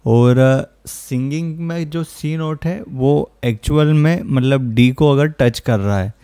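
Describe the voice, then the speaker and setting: A man giving an informal, step-by-step software tutorial as a music production host. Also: medium pitch, slow, clear, resonant tone, no echo, clean studio sound. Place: close, dry indoor voice-over.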